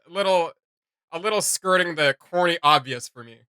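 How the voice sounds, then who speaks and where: A man's voice: a little high, moderate pace, sharp tone, clear, resonant tone, dry recording. A man hosting a reaction video, giving casual, opinionated commentary in an analytical, emphatic way.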